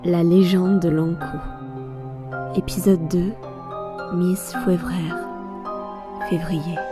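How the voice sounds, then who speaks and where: A woman reading scripted audio drama credits as an announcer, in deliberate, spaced phrases. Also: lowish voice, little pitch movement. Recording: prominent music behind the voice. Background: new-age style music.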